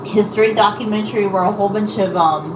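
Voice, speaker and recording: A woman's voice: mid-range voice, fast. A woman chatting casually to viewers in a rambling, hesitant, apologetic way. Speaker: live-streamer chatting with viewers. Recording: echoey room, distant mic, loud background noise.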